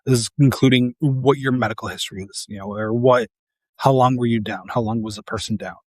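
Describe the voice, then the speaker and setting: A man's voice: medium pitch, fairly even intonation, brisk, darkish tone, dry recording. A man who works as a paramedic, talking casually and earnestly to camera, tired and losing his voice.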